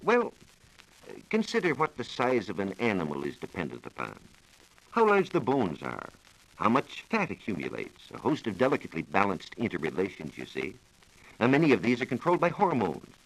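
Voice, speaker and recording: An American man giving a scripted science talk on 1940s radio, explaining in a measured, formal manner. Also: on the low side, wildly swooping pitch, low-quality recording. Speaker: science reporter explaining research. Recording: old 1940s radio broadcast recording.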